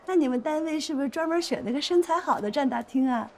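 An actress playing a pushy, flirtatious shop owner in a screen drama, insistent, teasing and quick to take offence. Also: female voice, high-pitched, a little mellow. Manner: insistent, teasing, indignant, theatrical. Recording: noticeable background noise and ambience.

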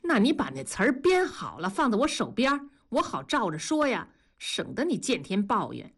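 A woman voicing a bossy, sharp-tongued wife in an audio story, with brisk, mocking, colloquial northern Chinese speech. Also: a little high, huge pitch swings, husky, heavily acted. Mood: irritated, scolding.

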